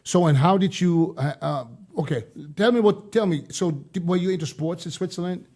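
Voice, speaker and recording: A man's voice: high voice, moderate pace, dark tone. A man hosting a podcast, chatting casually and often repeating words as he forms his questions. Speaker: podcast host interviewer. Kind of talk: casual interview chat.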